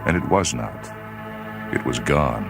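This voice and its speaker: A man reading a literary short story as dramatic scripted narration, with grave, deliberate phrasing. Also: rumbling low, animated, vocal fry, music in the background. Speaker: short story reader on a radio series.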